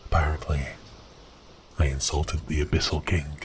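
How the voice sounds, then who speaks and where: A man playing a giant cursed sea beast prince in an ASMR audio roleplay, speaking in grand archaic phrases with a teasing, theatrical manner and a breathy voice that dips into whispers. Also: a bass voice, flat delivery, moderate pace, slight background noise, rough recording. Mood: wistful, resigned.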